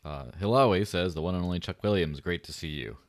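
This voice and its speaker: A low-pitched man hosting a casual livestream podcast, his voice light and buttery. He rambles, jokes and pokes fun at himself. The sound is dry and close. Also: quick pace, dry recording. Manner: joking, rambling, self-deprecating. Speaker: podcast host.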